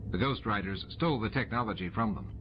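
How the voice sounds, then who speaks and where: A man reading an audiobook, voicing a practical male chief engineer with a brisk, technical delivery. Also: on the low side, lively intonation, muffled recording, noisy background, natural voice. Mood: explaining.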